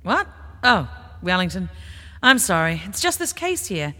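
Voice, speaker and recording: A man's voice: high-pitched, sing-song, unhurried, pushed and bright, voice pushed up, heavily acted. A man voicing an anxious young Victorian lady agent who sounds fretful and uneasy. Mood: distracted. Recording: long reverb.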